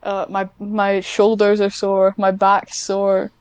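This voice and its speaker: A woman talks casually. Her voice is clean and smooth, recorded very dry. She wanders off track and pokes fun at herself. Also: medium pitch, monotone, takes it slowly, no room sound. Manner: rambling, self-deprecating, easily sidetracked. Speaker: podcast guest, ASMR script writer. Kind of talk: casual chat.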